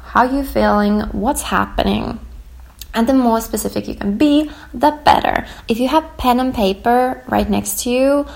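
A young woman hosting a podcast episode, gently guiding listeners through a reflection exercise while hoarse and losing her voice, with many likes and ums. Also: on the high side, a little slow, low-quality recording. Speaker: podcast host.

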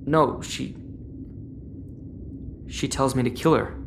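A teenage boy, acted by a woman, speaks very low and unsure of himself, confused and questioning. It is played as sci-fi audio fiction, with faint noise in the background. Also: slow pace, vocal fry, heavily acted. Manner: hesitant, unsure. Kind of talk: audio-fiction drama dialogue.

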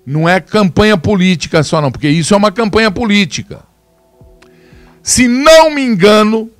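A man speaks in a fairly high voice, close to the mic in a dry room. His delivery is emphatic and opinionated, and he slows down to stress key words. Faint music sits under his voice. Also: a bit bright, no room sound. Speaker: commentator presenting video clips. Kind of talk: opinionated political commentary.

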